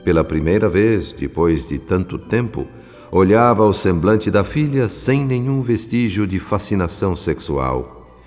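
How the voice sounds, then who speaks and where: A man with a Brazilian accent playing a solemn, compassionate narrator, telling a radio drama in measured literary phrases. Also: deep voice, expressive, darkish tone. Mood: solemn, tender. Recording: long reverb with music behind. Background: music.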